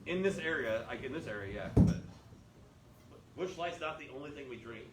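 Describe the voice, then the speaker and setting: A man co-hosting a casual chat in a bar, lively and swearing freely. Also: mid-range voice, normal speed. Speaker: podcast co-host. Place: bar and grill. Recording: echoey bar, far from mic, background noise.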